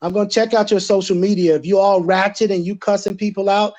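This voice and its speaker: A man's voice: high voice, steady tone, fast talker, darkish tone. A man speaking emphatically and colloquially in a panel chat as a stage producer and director, on muffled call audio.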